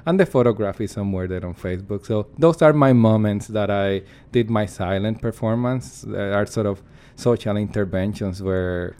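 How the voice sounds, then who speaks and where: A man's voice: fairly low pitch, dark tone. A man, a performance artist, giving earnest and reflective interview answers while searching for his words.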